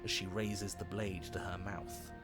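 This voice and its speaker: A man acting in a horror visual novel, delivering dramatic, deliberate scripted lines in literary phrasing. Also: low voice, flat delivery, over music. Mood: grim, solemn. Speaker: game narrator. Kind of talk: dramatic scripted game narration.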